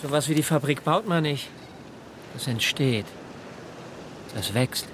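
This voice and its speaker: Actor as slow, cryptic, ominous magician. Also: male voice, mid-range voice, animated, background noise, close to an everyday voice. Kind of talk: radio drama dialogue. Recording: music under the voice. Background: music.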